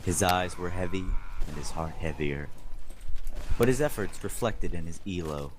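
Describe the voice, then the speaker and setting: A man's voice: deep voice, expressive, moderate pace. A young man on an online voice chat, casually bantering with friends and reading a story aloud in a mock-dramatic way. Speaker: reader of a fan fiction among gaming friends. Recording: noisy group voice-chat audio.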